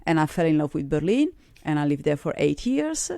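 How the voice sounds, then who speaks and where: A woman's voice: low-pitched, very animated, brisk, mellow, dry recording, studio quality. A middle-aged woman from Sardinia with an Italian accent, telling her life story as an interview guest. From Sardinia, Italy. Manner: storytelling, acts out quoted people. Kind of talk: casual interview chat.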